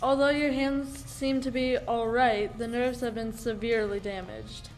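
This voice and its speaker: Grave, reluctant doctor played by a teenage girl, fairly high voice, radio drama, light music beneath. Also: fairly even intonation, unhurried, natural voice, low-quality recording. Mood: grave, apologetic. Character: reluctant doctor breaking bad news. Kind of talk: school radio play acting.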